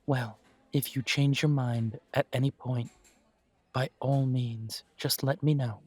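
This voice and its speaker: A man's voice: medium pitch, steady tone, takes it slowly, breathy edge. A man voicing a courteous elven café owner. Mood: gracious. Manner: polite, formal, gently self-deprecating. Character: courteous elven male coffee shop owner. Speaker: shop owner interviewing a job applicant. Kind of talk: scripted one-sided roleplay audio.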